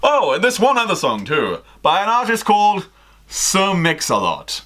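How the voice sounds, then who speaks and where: A man voicing an overeager, lovestruck teenage schoolboy from a story, in a gushing, drawn-out, exaggerated way. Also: high-pitched, huge pitch swings, a bit bright, voice pushed up, full character voice. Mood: eager.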